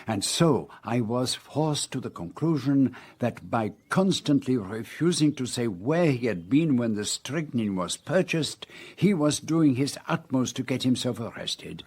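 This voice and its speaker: A man playing a shrewd, theatrical Belgian detective in a radio drama, explaining deliberately and precisely in a Belgian French accent. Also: medium pitch, sing-song, gravelly, a little mellow, husky, exaggerated voice. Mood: explaining, assured.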